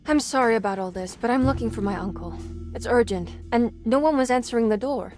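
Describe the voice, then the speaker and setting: An actress playing a somber, anxious young woman in a video game, speaking in a measured, polite, gloomy way. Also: a little high, darkish tone, soft background music, close to an everyday voice. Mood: worried, determined. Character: somber, anxious young female client seeking her uncle. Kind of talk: cinematic game voice acting.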